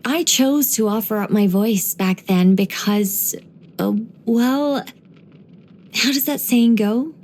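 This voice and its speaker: A woman voicing a gentle, wise young angelic woman in game voice lines, soft and wryly musing. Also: a little high, slow.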